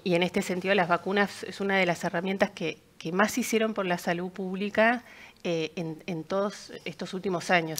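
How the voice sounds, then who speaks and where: A woman explaining her points on a discussion panel, repeating words as she goes. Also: medium pitch.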